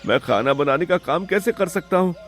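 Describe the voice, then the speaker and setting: A man voicing a distressed, pampered young brother in an animated moral story, plaintive and timid. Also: a little high, a little fast, faint music behind, lightly acted. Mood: pleading.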